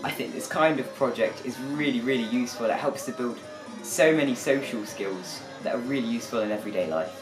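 A man's voice: mid-range voice, brisk. A teenage British male student explaining what his exchange group did. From United Kingdom. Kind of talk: explanatory recap. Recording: echoey room with music behind.

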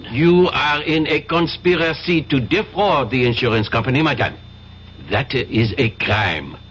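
An actor playing a courteous, methodical French customs detective with a French accent and hesitant, polite phrasing in a radio drama. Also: male voice, medium pitch, fairly bright tone, close to an everyday voice. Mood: calm, authoritative. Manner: polite, deliberate, hesitant pauses. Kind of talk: 1970s radio drama acting. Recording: muffled 1970s radio recording, faint noise.

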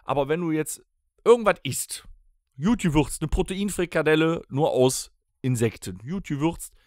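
A man's voice: on the high side, expressive, normal speed, darkish tone, no echo. Blunt man chatting, dry close sound. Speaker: podcast co-host and band musician. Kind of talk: casual podcast chat. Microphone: close studio microphone.

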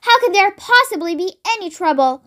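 Child playing storybook farmer father, very high voice, impatient, exclaiming, bossy, bursting with excitement. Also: moderate pace. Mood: impatient, urgent.

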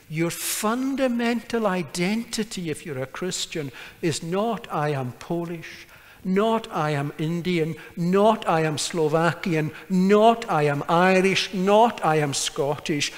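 A middle-aged man preaches from a pulpit microphone in a church. He speaks slowly and with deliberate emphasis, his fairly high voice carrying a long reverb. He builds his points through forceful repetition. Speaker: pastor. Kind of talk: sermon. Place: church sanctuary, standing at a pulpit.

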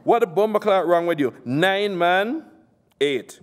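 A man reading aloud as a foul-mouthed, scornful Jamaican gang boss with a rough Jamaican accent. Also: high-pitched, lively intonation, a little slow, voice pushed up. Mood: furious scorn. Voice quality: rough, street slang, swearing. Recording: long hall reverb.

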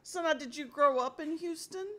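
An older woman chatting casually on a call from a small home office, friendly and quick to laugh. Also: high voice, fairly bright tone, a little hiss or hum. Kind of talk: casual phone chat.

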